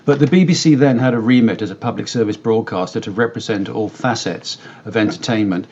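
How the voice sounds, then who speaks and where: A British man giving measured, articulate explanations as an expert guest in a conversational interview. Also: lowish voice, darkish tone, muffled recording. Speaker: company director interviewed as guest.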